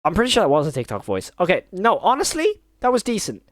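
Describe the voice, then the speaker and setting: A man's voice: high voice, wildly swooping pitch, quick pace, a bit bright, dry recording, clean studio sound. A man hosting a reaction video, giving casual, rambling, informal commentary with some mild swearing.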